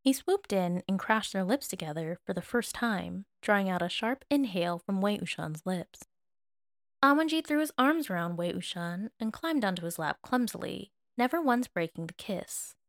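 A woman's voice: mid-range voice, lively intonation, normal speed, dry recording, clean studio sound. A woman reading scripted fiction aloud as an audiobook narrator.

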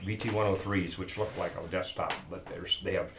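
Low-voiced older man, rambling and roomy. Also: steady tone, fairly quick. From born in Peru, raised in Bolivia. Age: about 70. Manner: rambling, trails off and restarts mid-thought. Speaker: podcast guest. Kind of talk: casual chat, storytelling. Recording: roomy with faint noise.

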